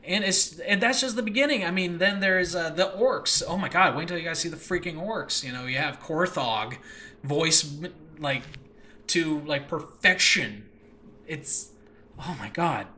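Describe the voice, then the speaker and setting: Bright-voiced, excitable male podcast host. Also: on the high side. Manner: excitable, gushing, effusive; rambling, halting, self-interrupting. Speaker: host and creator of an audio drama channel. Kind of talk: casual solo podcast talk to listeners.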